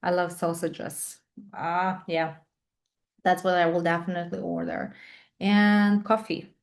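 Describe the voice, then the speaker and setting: A woman in her thirties from Ukraine with a Ukrainian accent, answering interview questions on a video call in thoughtful, halting English with many pauses and fillers. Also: lowish voice, level tone, gravelly. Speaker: guest, English teacher and patient advocate.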